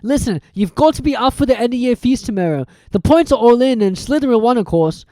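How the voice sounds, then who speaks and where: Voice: mid-range voice, lively intonation, quick pace, dark tone, no room sound, voice pushed up, natural voice. Reader doing Ron, an eager raised-voice schoolboy. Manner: eager and boastful.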